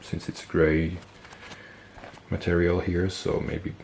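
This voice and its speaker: A man with a stuffy nose, casually explaining military gear on camera, relaxed and thinking aloud with fillers and self-corrections. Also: deep voice, slow pace, gravelly, slight background noise. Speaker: military gear collector and video host. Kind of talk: casual show-and-tell explanation.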